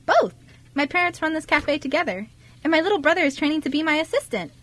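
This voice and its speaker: Woman playing a high-voiced, bubbly teenage girl. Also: lively intonation, quick pace, fairly bright tone. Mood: bubbly, chatty. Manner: chatty, eager, giggly. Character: bubbly, friendly fifteen-year-old girl working in family cafe. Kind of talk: amateur radio drama dialogue.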